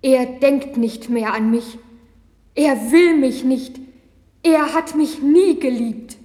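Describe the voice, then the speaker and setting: A woman's voice: high-pitched, takes it slowly, mellow. Woman acting a despairing young woman, long reverb. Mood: anguished, reproachful. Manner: anguished, pleading, dramatic. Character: despairing young Chinese woman with leprosy, losing her faith. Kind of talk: religious audio drama acting. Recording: long hall reverb, vintage vinyl record.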